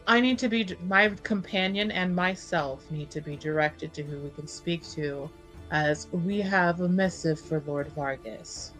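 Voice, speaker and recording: Woman playing a curt, commanding noblewoman, slow and deliberate. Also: lowish voice, a bit bright, soft background music, poor audio. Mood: formal, commanding. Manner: formal, imperious, deliberate.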